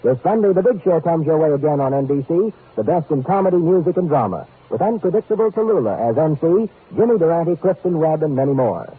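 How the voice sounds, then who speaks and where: A man's voice: a little high, lively intonation, quick pace, hardly any pauses, breathy edge. An American man as an upbeat network announcer reading promos in 1950s radio style. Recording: tinny, noisy 1950s radio recording.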